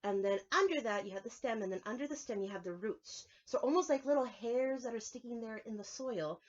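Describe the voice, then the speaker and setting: A woman's voice: fairly high pitch, brisk, highs cut off. A woman preschool teacher giving a lesson to young children, explaining things simply and step by step.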